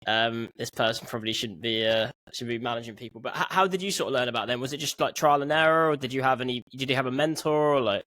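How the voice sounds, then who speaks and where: A man's voice: mid-range voice, fairly even intonation, quick pace, hardly any pauses, a bit bright. A young man hosting a podcast, asking questions in a loose, chatty way into a studio microphone. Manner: chatty, loose, says "like" a lot. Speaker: podcast host. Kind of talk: casual interview questions. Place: home room. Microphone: studio mic on a stand.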